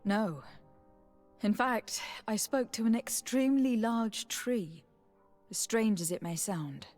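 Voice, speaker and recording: An actress playing a composed, dutiful young noblewoman diplomat in a video game, speaking in a measured, earnest, formal manner. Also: fairly high pitch, darkish tone, soft background music.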